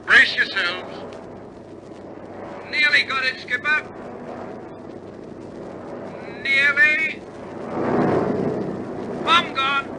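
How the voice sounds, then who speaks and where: Wartime air force crewman, old radio play, high urgent clipped voice, noisy engine drone behind. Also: drawn-out words, pauses often, exaggerated voice. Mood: focused, tense. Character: male RAF bomber crewmen in tense wartime flight. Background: aircraft engine roar.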